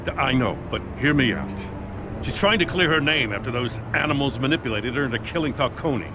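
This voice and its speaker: A man voicing a weary, gruff police commissioner. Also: mid-range voice, animated, a little fast, noisy background, lightly acted. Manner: tired and blunt, pleading. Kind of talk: video game voice acting.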